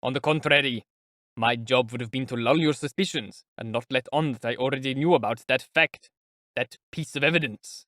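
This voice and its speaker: A man's voice: mid-range voice, moderate pace, a bit bright, no echo, clean studio sound, lightly acted. A man voicing a sly, sardonic middle-aged male investigator who talks in a chatty, teasing, politely mocking way. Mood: probing. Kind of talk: audiobook character voice.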